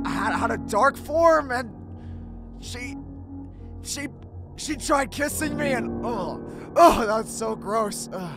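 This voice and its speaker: A man's voice: high-pitched, full character voice. A man voicing an edgy, brooding cartoon hedgehog who is easily panicked and exasperated, with a strained, melodramatic, stammering delivery. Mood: shaken. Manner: melodramatic, repetitive yelling and stammering. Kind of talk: cartoon comic dub acting.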